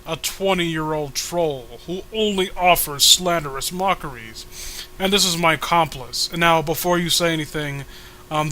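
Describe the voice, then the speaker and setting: Man playing mild, bright-voiced young troll website owner. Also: fairly high pitch, slight background noise, poor audio. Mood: smug, earnest.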